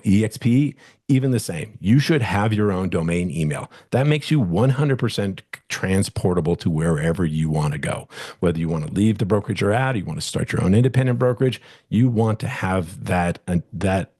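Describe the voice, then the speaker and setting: A man with a low, husky voice teaching casually on a studio microphone, talking in loose restarts and acting out what clients say. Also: mellow, dry recording. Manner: conversational, many restarts and "you know"s, acts out client voices. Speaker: real estate coach presenting a webinar.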